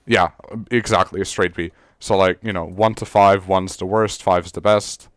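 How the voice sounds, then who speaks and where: Rambling Serbian teen boy, smooth voice. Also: sits low, steady tone, normal speed, dry recording, clean studio sound. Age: teens. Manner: rambling, many fillers and restarts, swears casually. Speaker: podcast co-host. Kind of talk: casual chat.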